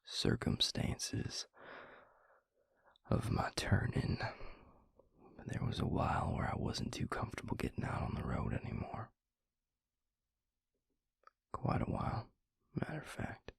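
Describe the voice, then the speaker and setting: A man playing a weary, grieving vampire lover, tender and gruff, in an intimate audio roleplay with a husky, gravelly murmur. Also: huge pitch swings, no room sound, whispered, studio quality, full character voice. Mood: weary, brooding. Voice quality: husky, breathy, gravelly low voice. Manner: slow, halting, murmured, with sighs.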